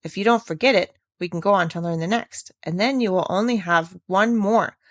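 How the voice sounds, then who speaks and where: A woman's voice: medium pitch, fairly quick, dry recording, clean studio sound, natural voice. A woman voicing an eager, encouraging little Swiss girl in a children's storybook, earnest in manner. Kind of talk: children's storybook read aloud.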